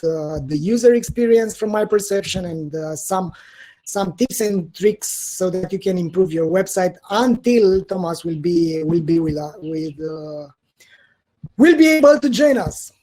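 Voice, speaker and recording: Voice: medium pitch, darkish tone, poor audio. A host opening a remote interview, speaking hesitantly with many fillers and repeated words. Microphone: video call.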